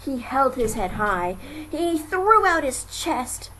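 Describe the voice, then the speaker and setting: A woman reading a children's story aloud as an amateur, with dramatic, expressive narration. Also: sits high, slow pace. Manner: dramatic, lingering on repeated phrases. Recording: noisy amateur home recording.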